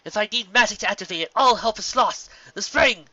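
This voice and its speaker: A man's voice: sits high, fairly quick, sharp tone, dry recording, muffled recording, pitched above the performer's own voice, exaggerated voice. A man voicing a frightened, earnest young princess in a storybook reading, pleading and urgent. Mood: frustrated, desperate.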